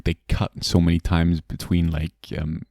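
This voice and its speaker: A man's voice: deep voice, completely flat delivery, moderate pace, warm tone, no echo, studio quality. A man co-hosting a podcast, laid-back and teasing, mostly giving short agreeing replies. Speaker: podcast co-host. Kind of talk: casual chat.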